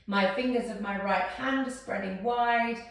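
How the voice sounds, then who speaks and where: A woman guiding a posture exercise routine step by step, in a calm, instructive way. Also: medium pitch, measured pace, distant mic. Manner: calm step-by-step cueing, counting down. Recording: very echoey room, voice from off-mic.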